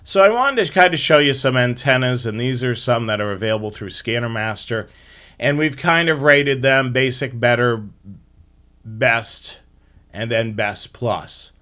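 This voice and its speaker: A man's voice: medium pitch, lively intonation, measured pace, bright, forceful tone. A man hosting a live hobby show, explaining things step by step in an informal slideshow presentation.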